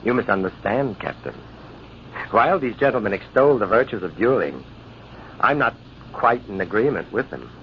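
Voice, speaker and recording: Casting: self-assured, needling officer, formal, swooping intonation, dull noisy old radio sound. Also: male voice, on the low side, lively intonation, normal speed. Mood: pointed, polite. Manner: formal, pointed. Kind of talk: old-time radio drama acting.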